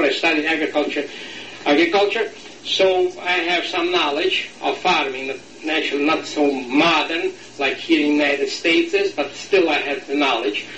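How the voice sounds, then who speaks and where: Older man, Latvian accent, non-native English, halting, bright voice, echoey room. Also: a little high, far from the mic, muffled recording, a little hiss or hum. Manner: halting, searching for words, slight non-native grammar. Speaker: interviewee. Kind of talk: oral history interview.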